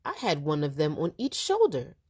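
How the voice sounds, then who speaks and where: A woman's voice: low-pitched, huge pitch swings, normal speed, warm tone, slightly rough, dry recording, studio quality, exaggerated voice. A woman voicing a kindly but bossy, matter-of-fact old grandmother in a children's storybook. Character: brisk, loving old grandmother in charge.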